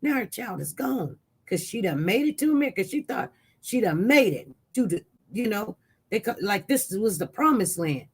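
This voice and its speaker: Animated live-stream news host. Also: mid-range voice, normal speed, husky. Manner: animated, voice jumps up loud when reacting to chat; rambling with frequent restarts. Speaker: livestream news host reading viewer chat. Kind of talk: casual live-stream commentary.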